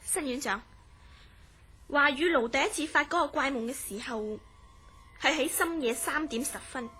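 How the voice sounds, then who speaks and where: Radio drama: concerned young Hong Kong woman played by an actress, high-pitched, polite, measured and grave as she recounts a mystery. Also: bright, forceful tone. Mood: earnest, mysterious. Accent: Hong Kong Cantonese. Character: concerned, earnest young woman telling of her troubled friend. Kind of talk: Cantonese horror radio drama acting and narration.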